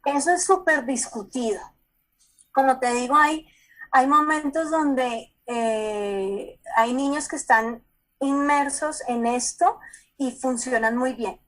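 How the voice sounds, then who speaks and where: A Colombian woman psychologist speaks over a video call in a somewhat high voice. She explains at an easy conversational pace, repeating words and often pausing to check that the listener follows. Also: fairly bright tone.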